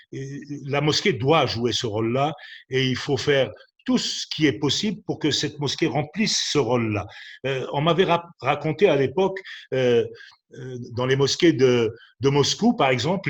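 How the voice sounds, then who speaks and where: A man speaking on a panel about religion, measured and hesitant, with frequent fillers. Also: medium pitch, dull audio.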